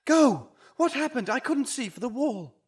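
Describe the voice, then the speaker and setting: A man playing an anxious, melodramatic rich gentleman in an audio drama, with theatrical exclamations and old-fashioned phrasing. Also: extremely high pitch, very animated, normal speed, husky, full character voice. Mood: panicked.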